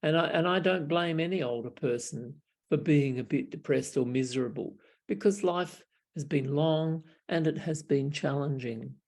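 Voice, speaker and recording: An older man with an Australian accent speaks over a home webcam call. His voice is gravelly and a little husky, his delivery reflective and wry, broken by restarts and small chuckles. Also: fairly high pitch, normal speed, darkish tone. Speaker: Buddhist monk. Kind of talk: casual storytelling on a video call. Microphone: video call webcam.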